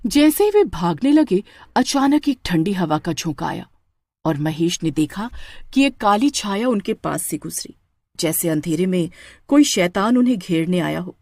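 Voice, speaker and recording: A woman narrates a village horror story in a dramatic, scripted way. Her pitch rises and falls widely, and the recording is dry and close. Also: very animated, measured pace, darkish tone, no echo. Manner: dramatic, with stammering in character lines.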